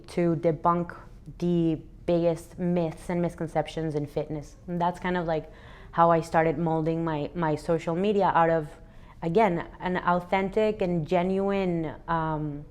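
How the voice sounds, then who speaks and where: Low-voiced woman recounting her story. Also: clear, resonant tone. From Venezuela. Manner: earnest, reflective, frequent restarts. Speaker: interview guest, athlete and fitness educator. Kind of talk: relaxed interview answers.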